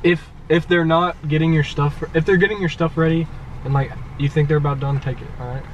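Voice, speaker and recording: A man's voice: on the high side, steady tone, fairly quick. A young American man chatting casually inside a car as a vlogger. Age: young adult. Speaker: prank vlogger. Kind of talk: casual chat. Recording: noisy muffled in-car camera sound. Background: car noise.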